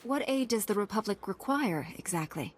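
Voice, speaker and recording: An actress playing a confident, direct young Jedi knight in a video game. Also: female voice, medium pitch, lively intonation, normal speed, big-room sound, lightly acted. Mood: dutiful. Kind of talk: video game cutscene dialogue.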